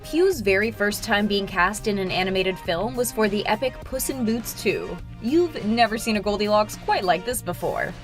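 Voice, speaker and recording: A woman's voice: mid-range voice, expressive, moderate pace, few pauses, soft background music. A woman reading scripted entertainment narration as a video narrator.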